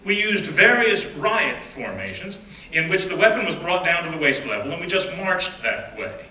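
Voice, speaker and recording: A man giving a first-person dramatic reading of an oral history, measured and deliberate, often dropping to hushed phrases. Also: sits high, very animated. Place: stage or event room. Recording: roomy, distant mic with faint background noise.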